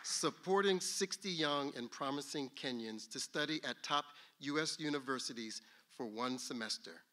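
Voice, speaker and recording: A man reading a formal prepared announcement as a government official, in a measured tone. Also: mid-range voice, noisy background.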